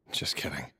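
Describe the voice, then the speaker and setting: An actor playing a brooding, haunted warrior in a video game, speaking in a husky, very deep, measured voice. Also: male voice, sharp tone, exaggerated voice. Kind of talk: dramatic video game acting.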